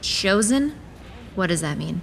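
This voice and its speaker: A woman's voice: mid-range voice, very animated, measured pace, creaky, a little hiss or hum. A woman playing a sardonic, jaded young woman in a horror audio drama, blunt and dry in manner. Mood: determined.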